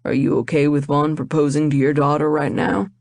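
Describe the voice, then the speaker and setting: A woman's voice: low voice, flat delivery, brisk, gravelly, darkish tone, dry recording. A woman voicing a big groom who teases his friend in a dry, wry way.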